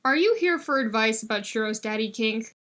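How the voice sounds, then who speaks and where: Woman voicing a laid-back, bright-voiced young college guy. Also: fairly high pitch, lively intonation, fairly quick. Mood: matter-of-fact. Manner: casual, blunt, slangy. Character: laid-back, kind young male college student cook.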